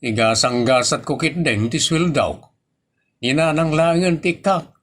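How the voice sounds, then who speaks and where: A man playing a sensible grown son chiding his father in a comedy audio drama, in a husky voice. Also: on the high side, unhurried, fairly bright tone. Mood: reproachful.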